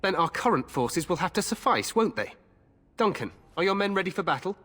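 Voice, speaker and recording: A man's voice: high voice, big pitch swings, a little fast, sharp tone, full character voice. An actor playing an eager, glory-hungry young king in a video game, speaking in a regal, confident, commanding manner. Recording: long hall reverb with game music behind.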